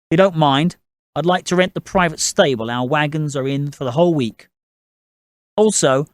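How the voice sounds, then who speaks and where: Guy doing a bossy polite gentleman dad for an audiobook, voice up a bit, very businesslike, dry recording. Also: on the high side, dry recording, close to an everyday voice. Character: assertive gentlemanly father, a shrewd, moneyed family patriarch. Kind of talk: audiobook character dialogue.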